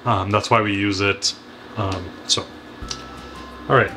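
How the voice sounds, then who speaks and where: A man's voice: on the low side, takes it slowly, faint music behind. A middle-aged man co-hosting a cooking show, chatting casually as he chops vegetables in a home kitchen. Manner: relaxed, explains while chopping. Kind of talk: casual cooking demo chat.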